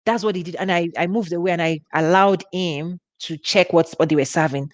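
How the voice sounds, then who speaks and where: Nigerian woman giving a relaxed talk. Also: on the low side, a little fast, no echo. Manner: conversational, with frequent restarts and laughs. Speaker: ministry host. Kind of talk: informal teaching talk.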